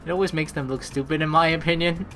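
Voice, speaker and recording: A woman's voice: low voice, fairly even intonation, fast talker, fairly bright tone. A young woman hosting a let's play, reading game narration aloud and commenting expressively in blunt, sweary language. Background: game music.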